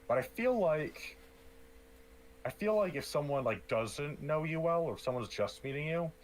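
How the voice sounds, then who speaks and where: A young man with a deep, loud voice co-hosting a podcast in casual chat, often restarting his sentences and joking dryly. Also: sing-song, moderate pace, a little hiss or hum, rough recording. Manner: deep loud voice, hesitant restarts, dry jokes. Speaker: podcast co-host. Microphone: streamed podcast mic.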